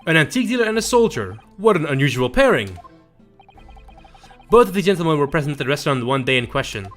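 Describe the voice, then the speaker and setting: A man's voice: a little high, very animated, fast talker, a bit bright, soft background music. A man streaming a game, reading visual novel dialogue aloud.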